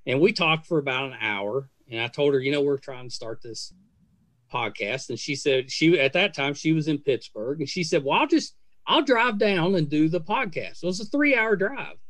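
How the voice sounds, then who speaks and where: A middle-aged man hosts a casual interview podcast with an effusive, praising manner. His voice sits a little high for a man, and the sound is dry with hardly any room in it. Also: animated, normal speed, a bit bright, no echo. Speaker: podcast host.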